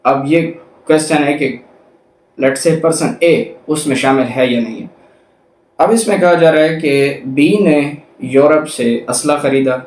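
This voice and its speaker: A man's voice: mid-range voice, darkish tone. A man with a Pakistani accent giving an explanatory law lecture, restating points methodically and mixing in English legal terms. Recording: echoey, reverberant room sound.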